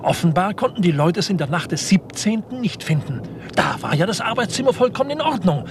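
A man's voice: high voice, big pitch swings, fairly quick. An actor playing an eager, deferential yet grumbling detective's assistant in a 1960s German radio crime drama, often hesitating as he thinks aloud. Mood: puzzling it out. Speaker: detective's subordinate. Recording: noisy old radio play recording.

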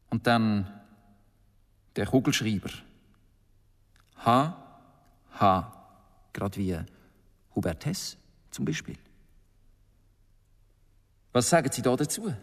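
A man acting in a Swiss German radio drama as a sceptical prosecutor with an official, pointed way of questioning. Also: medium pitch. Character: probing, sceptical interrogating prosecutor. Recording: long reverberant hall.

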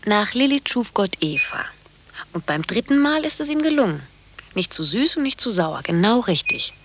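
A woman's voice: medium pitch, big pitch swings, moderate pace, fairly bright tone. An actress playing a wry, self-assured woman in a radio play, making dry, mocking asides. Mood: playful, smug.

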